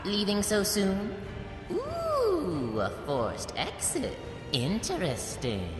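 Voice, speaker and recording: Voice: medium pitch, huge pitch swings, slow pace. An actor playing an amused, condescending alien being in a sci-fi parody, with a mocking, nonchalant and theatrical delivery. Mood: smug amusement. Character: amused, condescending, detached alien being feeding on mental energy. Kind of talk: animated sci-fi parody voice acting. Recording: long echoing reverb with music behind.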